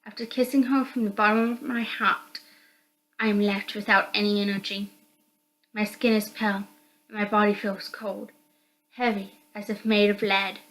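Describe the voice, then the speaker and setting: A woman's voice: mid-range voice, little pitch movement, normal speed, fairly bright tone, a little hiss or hum, poor audio. A woman reading scripted first-person narration from a visual novel aloud.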